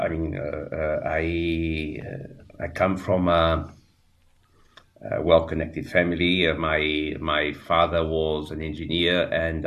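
A man in his sixties from Portugal with a Portuguese accent, talking as a radio interview guest in a halting way, with many fillers and restarts. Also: extremely low pitch, a bit bright. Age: 60s. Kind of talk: radio interview, reflective storytelling.

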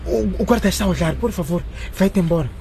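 An anxious teenage schoolboy in a radio drama, sulky and nervous. His voice is fairly high, with faint noise and chatter behind it. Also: quick pace, gravelly, a little mellow. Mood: nervous, pleading.

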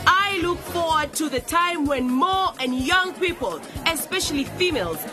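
Really high-voiced teen girl politician, determined, speechifying over a cheering crowd n music, radio play. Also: expressive, moderate pace. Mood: rallying, confident. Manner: emphatic, declamatory public speaking. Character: determined nineteen-year-old newly elected woman councillor addressing supporters. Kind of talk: radio drama acting, rallying speech.

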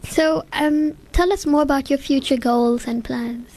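A woman hosting a community radio interview in a studio, asking friendly, quick follow-up questions. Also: sits high, fairly even intonation, a little mellow, slight background noise, rough recording. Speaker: radio show host.

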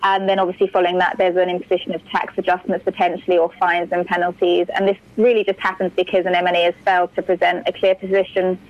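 A woman giving a measured explanation as an expert guest in an interview. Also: lowish voice, flat delivery. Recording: slightly muffled call audio.

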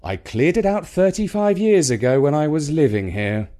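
A man's voice: a little high, animated, normal speed, natural voice. Meek, long-suffering grown-up son, read by a man. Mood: resigned. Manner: soft-spoken, dutiful, plaintive. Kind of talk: children's storybook character voice.

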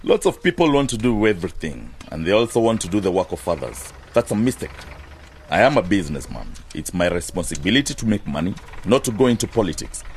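A man's voice: on the low side, sing-song, fast talker, slight background noise. An actor playing a proud, hard-headed farmer and businessman in a radio drama, self-assured and matter-of-fact. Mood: dismissive.

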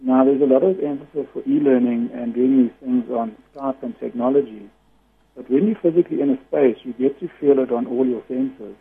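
A man with a loud voice, a guest giving earnest explanations in a radio interview over the phone. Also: medium pitch, a little fast, creaky, breathy edge. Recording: tinny phone line.

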